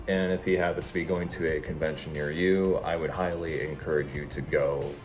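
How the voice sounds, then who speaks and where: A young man with a low voice talks casually as a vlogger. His delivery is halting and hesitant, and loud music plays under him. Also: steady tone. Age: young adult. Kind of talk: casual vlog chat.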